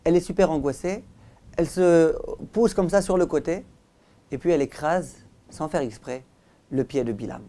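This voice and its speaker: Rabbi guy telling a bible story to his listeners, mellow dark voice, dramatic pauses. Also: a little high. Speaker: rabbi, teacher. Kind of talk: religious lesson told as animated storytelling.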